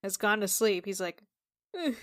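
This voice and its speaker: High-voiced woman tabletop player. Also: measured pace, a bit bright, clear, resonant tone. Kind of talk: casual improvised role-play chat.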